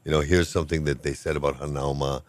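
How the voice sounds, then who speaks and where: A man's voice: very deep voice, fairly even intonation, fast talker, no room sound. An older man, a professor of Hawaiian language, talking casually and with good humor in an interview, wandering from point to point. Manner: rambling, good-humored, self-deprecating.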